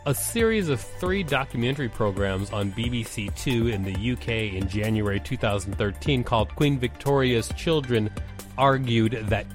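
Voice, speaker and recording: A man co-hosting a podcast, reading articles aloud and adding casual commentary. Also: fairly low pitch. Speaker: podcast co-host.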